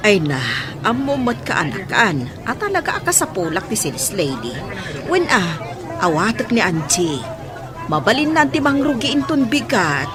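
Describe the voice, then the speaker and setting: A woman playing a bossy but warm-hearted shopkeeper aunt in an Ilocano radio drama. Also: mid-range voice, sing-song, normal speed, crowd noise, natural voice. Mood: brisk, bossy.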